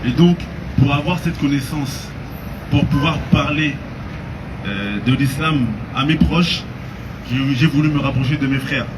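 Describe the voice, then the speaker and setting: A man's voice: medium pitch, a little slow, recorded from a distance. French man preaching outdoors over traffic. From France. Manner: earnest, steady exhortation with Arabic phrases. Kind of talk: street preaching to a crowd.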